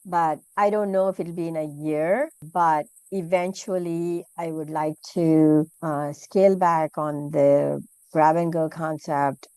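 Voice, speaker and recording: A middle-aged woman from Malaysia, a food business owner answering interview questions in a quick, chatty way with lots of "you know". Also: low-pitched, steady tone, a little mellow, clean tone, no room sound. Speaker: guest, food entrepreneur.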